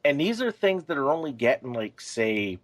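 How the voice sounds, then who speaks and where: Podcast cohost guy, average pitch, casual, talks in short halting bits. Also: sing-song. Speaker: podcast co-host.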